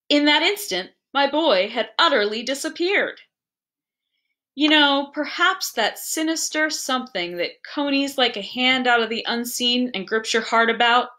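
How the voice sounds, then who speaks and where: A woman reading a literary story aloud with expressive, dramatic and deliberate phrasing. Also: a little high.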